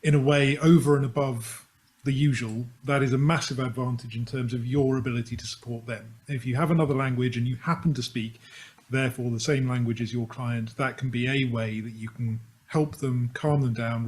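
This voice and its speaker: A man's voice: medium pitch, steady tone, a little fast. A man, a family law barrister on a panel, answering in an earnest, considered way.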